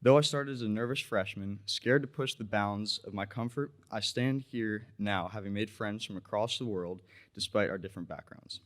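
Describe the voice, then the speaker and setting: Teen boy, student speaker, slightly low voice, encouraging. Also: normal speed, hardly any pauses, fairly bright tone. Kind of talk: prepared ceremony speech.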